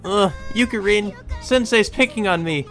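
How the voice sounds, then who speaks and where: A man voicing lively, teasing teenage schoolgirls in a visual novel, bubbly and whiny. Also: high-pitched, normal speed, faint music behind, pitched above the performer's own voice.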